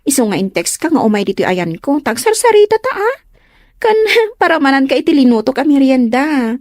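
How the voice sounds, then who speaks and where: A woman's voice: high-pitched, very animated, fairly quick, darkish tone, dry recording, clean studio sound. A woman acting a chatty, teasing young friend and confidante in an Ilocano comedy drama. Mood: teasing, friendly.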